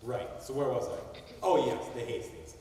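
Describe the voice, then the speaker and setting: A man's voice: mid-range voice, normal speed, mellow, slight background noise, lightly acted. An actor playing the ghost of a wronged young man who rambles and loses his place as he narrates a stage play. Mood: brooding. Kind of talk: amateur stage acting. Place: stage in a large hall. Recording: echoey hall from far off.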